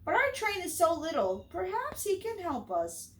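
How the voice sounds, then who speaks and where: A woman voicing a cheerful little male toy clown who speaks brightly and upbeat. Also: very high voice, huge pitch swings, normal speed, reverberant, far from the mic, voice pushed up, exaggerated voice.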